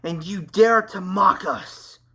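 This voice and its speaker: A man's voice: high-pitched, expressive, a little slow, pitched above the performer's own voice. A man voicing Jakeia, an emotional, hot-tempered young male hero. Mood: furious. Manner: shouting and emotional.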